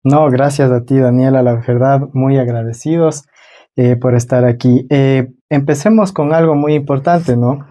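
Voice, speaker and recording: A man's voice: medium pitch, steady tone, moderate pace, mellow. A man hosting a conversational interview on his own channel, welcoming a guest and asking questions.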